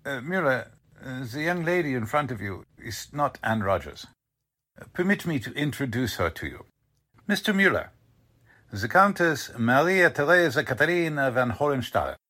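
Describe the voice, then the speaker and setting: A male Nazi spymaster in a wartime radio play acted by a man, courteous on the surface and pleased with his own scheme. His middling voice swings widely in pitch and has a slightly husky edge as he lays out orders deliberately. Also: big pitch swings, bright, forceful tone. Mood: smugly pleased. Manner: courteous, deliberate, self-satisfied. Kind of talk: 1940s radio drama acting.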